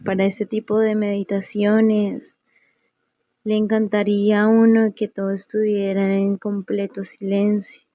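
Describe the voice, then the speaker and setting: A woman leading a guided meditation in a serene, unhurried way, leaving long pauses between phrases. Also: mid-range voice, completely flat delivery, mellow, dry recording. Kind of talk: slow guided meditation narration.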